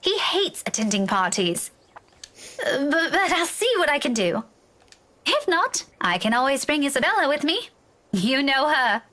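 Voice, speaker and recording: A woman's voice: sits high, very animated, a little slow, sharp tone, exaggerated voice. A woman acting as a dutiful young adult daughter in a video game, reassuring, easygoing and lightly playful. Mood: amused.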